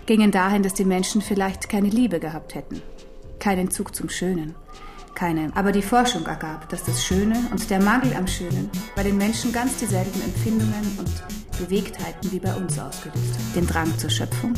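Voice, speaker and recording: An actress in a German radio drama playing a learned, patient non-human teacher who instructs precisely and firmly. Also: female voice, medium pitch, normal speed, darkish tone, faint music behind, close to an everyday voice. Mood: reflective.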